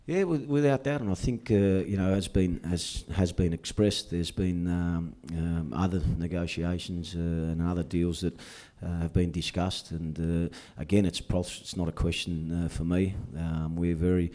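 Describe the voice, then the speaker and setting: Deep-voiced, hesitant man. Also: fairly even intonation, normal speed, few pauses, dark tone. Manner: hesitant, full of uh and you know fillers. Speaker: club executive. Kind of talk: press conference answers.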